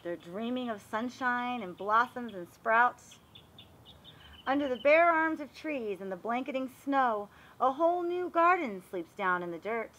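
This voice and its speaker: A woman reading a picture book aloud to young children, animated and expressive, pausing to explain and ask questions. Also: fairly high pitch, a little hiss or hum, rough recording. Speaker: read-aloud storyteller for children.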